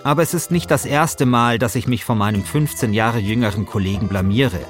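A middle-aged man narrating a podcast from a script, wry, deadpan and self-deprecating. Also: medium pitch, a little fast, soft background music.

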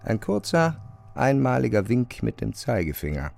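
A man's voice: medium pitch, big pitch swings, measured pace, gravelly, over music. A man narrating a literary radio drama as a scripted storyteller.

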